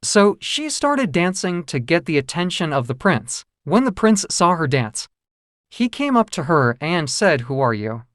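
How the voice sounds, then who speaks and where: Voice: medium pitch, sing-song, a little slow, a bit bright, no room sound, studio quality. A storyteller narrating a children's fairy tale from a script.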